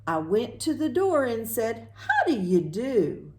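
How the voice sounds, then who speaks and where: An American woman leads a storytime for young children. Her pitch moves widely in a singsong read-aloud style, and she takes her time. She poses simple questions, leaves room for an answer, and then affirms it. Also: sing-song, slow pace, a little mellow. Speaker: children's librarian, storytime host.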